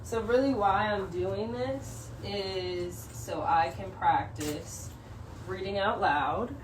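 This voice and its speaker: A woman's voice: mid-range voice, slow pace, a little hiss or hum, low-quality recording. A young adult woman talking informally on a livestream, rambling in slangy, hesitant, self-conscious speech. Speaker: livestreamer introducing a book reading. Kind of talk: casual, unscripted livestream chat.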